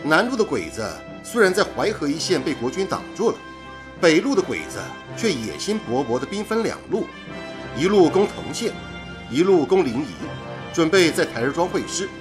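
An actor playing a chatty, blunt and loyal radio engineer in a radio drama, exclamatory and teasing. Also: male voice, fairly high pitch, sing-song, moderate pace, music in the background. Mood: concerned.

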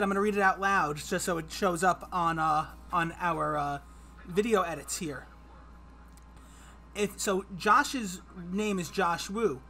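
Man, 30s, trivia quiz host, livestream from home, upbeat and chatty, bright tone. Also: high voice, slight background noise. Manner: chatty and upbeat, reads clues aloud. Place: home streaming room.

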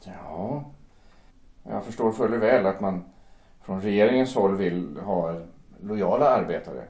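An actor playing an earnest young shipyard worker who speaks politely and deferentially, stumbling over words. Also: male voice, low voice, expressive, darkish tone. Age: young adult. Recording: roomy echo.

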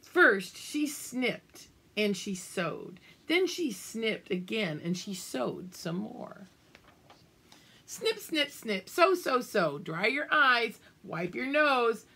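An older woman reads a children's picture book aloud at an unhurried pace. Her voice is slightly husky and swings widely up and down, with big emphasis on key words and little asides to the children. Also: a little high, very animated, sharp tone. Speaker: children's storybook reader. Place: small room at home, indoors.

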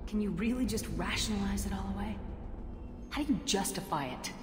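A woman playing a firm, challenging military commander in a video game, direct, measured and probing. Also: medium pitch, close to an everyday voice. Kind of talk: dramatic video game dialogue. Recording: echoey hall, music behind.

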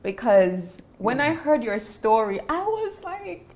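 A woman's voice: a little high, sing-song, slow pace, darkish tone. A young adult woman hosting an interview show, speaking in an upbeat, emphatic way. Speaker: host. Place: indoor room with shelves.

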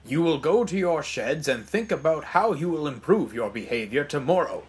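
Man voicing a sharp, stern railway boss. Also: on the high side, lively intonation, moderate pace, voice pushed up, lightly acted. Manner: sharp, reprimanding. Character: stern male railway controller, authoritative and disappointed.

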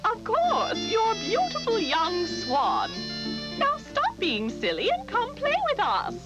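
A woman voicing an elegant, kindly swan in an animated fairy tale, genteel and reassuring. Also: very high-pitched, slow pace, over loud music, full character voice, low-quality recording. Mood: amused, kind.

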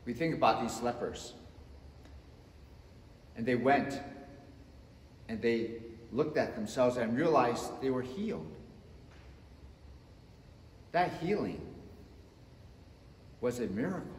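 A man in his fifties preaching a sermon from the front of a church sanctuary, slow and emphatic, swinging between loud and soft. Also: medium pitch. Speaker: pastor. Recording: echoey church with long reverb.

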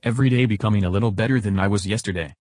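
An earnest chatbot reenacted by a man speaks in a lowish, husky voice. It gives short, philosophical, matter-of-fact answers as a podcast guest, in a dry recording. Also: rapid-fire, darkish tone, dry recording, natural voice. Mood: earnest, measured. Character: earnest, philosophical male chatbot being interviewed.

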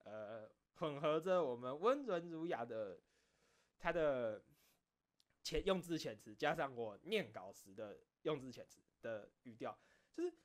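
A man chatting casually on his own as a streamer, rambling through stories in an animated, self-deprecating way. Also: mid-range voice, unhurried. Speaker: streamer and video creator. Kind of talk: casual solo chat, recounting behind-the-scenes stories.

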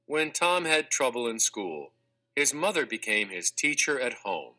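A man narrating a children's textbook read-aloud in a clear, measured, expressive scripted delivery. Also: mid-range voice. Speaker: audiobook narrator.

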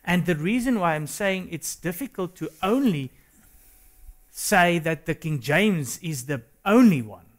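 A South African man whose first language is Afrikaans, co-hosting a religious discussion in an earnest, halting way. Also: high-pitched, expressive. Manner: earnest, hesitant with restarts. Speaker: co-host. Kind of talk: studio discussion.

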